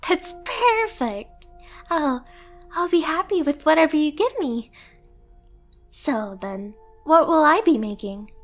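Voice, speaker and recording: A woman's voice: sits high, animated, slow, soft background music. A woman playing a timid, clumsy young catgirl pet who is eager to please, speaking in a meek, hesitant, apologetic way in an anime-style ASMR roleplay. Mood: flustered, apologetic.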